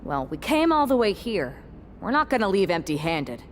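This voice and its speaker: A woman's voice: medium pitch, sing-song, moderate pace, slight background noise, close to an everyday voice. A woman playing a brash, combative wrestler in a video game cutscene, talking in a punchy, confrontational way. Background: music.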